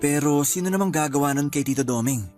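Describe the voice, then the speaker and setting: A man's voice: fairly high pitch, fast talker, darkish tone, natural voice. A man acting a lonely, bereaved young nephew in a Filipino radio drama, plain-spoken and earnest, with a Filipino accent. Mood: puzzled. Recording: music underneath.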